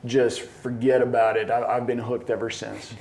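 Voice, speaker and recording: A man's voice: medium pitch, little pitch movement, moderate pace, gravelly, a little mellow. A middle-aged man from Colombia chatting casually as a disc golf coach, with an enthusiastic manner. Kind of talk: casual chat.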